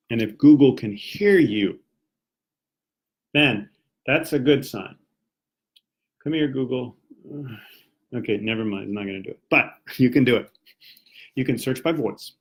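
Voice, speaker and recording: Friendly young American male English teacher, General American accent, casual live-stream delivery, middle pitch. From Washington, D.C., United States. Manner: friendly, chatty explaining with rhetorical questions.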